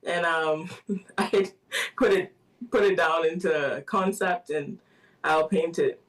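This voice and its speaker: A soft-spoken woman answers a radio interviewer, her speech full of little fillers and light laughs. Her voice sits a little away from the microphone. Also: fairly low pitch, fairly even intonation, takes it slowly. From Bahamas. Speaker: guest artist. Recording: voice somewhat distant from the mic.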